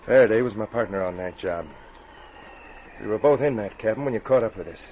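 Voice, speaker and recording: An actor playing a shaken, remorseful outlaw with a halting, earnest delivery in a 1940s radio adventure. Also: male voice, fairly low pitch, close to an everyday voice. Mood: confessional, regretful. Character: shaken, remorseful outlaw, betrayed by his partner. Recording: dull, hissy 1940s radio recording.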